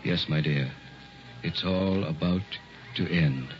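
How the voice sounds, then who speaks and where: An actor playing a sinister, commanding carnival hypnotist in old-time radio horror, deliberate and theatrical. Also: male voice, low voice, loud music behind the voice. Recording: muffled vintage radio recording.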